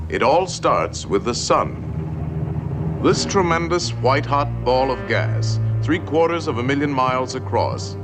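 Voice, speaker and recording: A man narrating an animated science film in a scripted, theatrical storytelling style. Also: medium pitch. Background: music.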